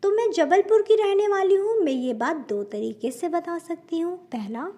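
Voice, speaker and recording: A woman from India teaching spoken English in Hindi, slow and careful, often calling her viewers friends and mixing in English words. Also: extremely high pitch, animated, dark tone.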